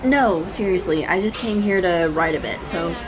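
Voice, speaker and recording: A woman's voice: on the low side, normal speed, natural voice. A woman playing a shy, adorkable young writer in an audio roleplay, speaking hesitantly with stammers, nervous giggles and apologetic phrasing. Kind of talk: intimate one-sided audio roleplay acting. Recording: clear background noise, cafe ambience effects. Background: coffee shop sound effects.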